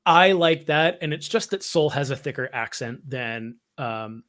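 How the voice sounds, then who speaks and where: A man's voice: mid-range voice, expressive, measured pace, a bit bright. A man co-hosting a fan podcast, talking casually and explaining his views in a conversational way. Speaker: podcast co-host. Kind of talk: casual fan discussion of Star Wars.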